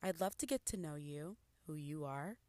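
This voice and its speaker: A woman's voice: sits low, expressive, normal speed, dry recording. A woman from Congo speaking earnestly and reflectively in a personal monologue as a podcast host. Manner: earnest, reflective, soft-spoken with occasional laughs.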